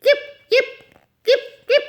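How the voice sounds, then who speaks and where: A woman's voice: takes it slowly, natural voice. A woman voicing a small, stubborn, yappy pet dog in a storybook reading, in short insistent yips.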